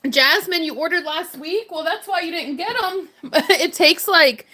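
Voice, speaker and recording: A woman with a hoarse, worn voice, chatting casually and greeting viewers while crafting on a livestream. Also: very high-pitched, unhurried, sharp tone. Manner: chatty, upbeat, laughs often. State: hoarse, voice strained from hours of talking. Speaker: livestream crafter and shop owner.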